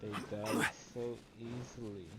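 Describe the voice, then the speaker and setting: A man's voice: mid-range voice, level tone, a little slow. A man doing casual, offhand gameplay commentary as a streamer, in slangy reactions and mutters. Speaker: game streamer. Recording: clearly noisy stream audio.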